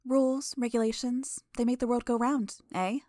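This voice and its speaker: A woman reading an audiobook, voicing a brash, flippant teenage girl who chatters quickly with teasing question tags. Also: mid-range voice, darkish tone, no echo, clean studio sound, pitched above the performer's own voice, natural voice. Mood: sarcastic. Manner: chirpy, rapid, tags sentences with a teasing question.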